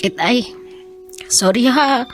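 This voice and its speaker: A high-pitched young Filipino daughter in a radio drama. She is respectful and earnest, hesitating politely, over a faint bed of music. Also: takes it slowly. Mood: contrite.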